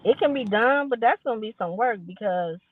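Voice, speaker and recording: A woman's voice: on the high side, animated, brisk. A woman speaking frankly and bluntly in casual chat as a podcast guest. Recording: tinny phone-like line.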